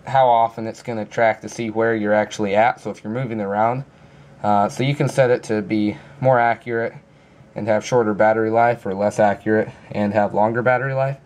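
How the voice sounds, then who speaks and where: Guy w a lowish voice casually explaining stuff like an app review, plain and informal. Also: steady tone, moderate pace, a little mellow.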